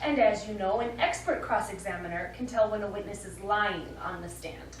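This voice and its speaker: An actress playing a shrewd, teasing, discontented wife in a live stage comedy, with a coy, sardonic delivery. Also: female voice, medium pitch, moderate pace, far from the mic, lightly acted. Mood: coy, wry. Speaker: stage actor. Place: small theatre stage, distant microphone. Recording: echoey, noisy stage recording from afar.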